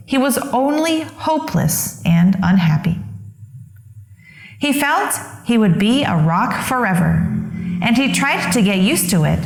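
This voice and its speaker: Female children's storyteller, unhurried read-aloud, highly melodic delivery, echoey room. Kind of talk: expressive picture-book read-aloud.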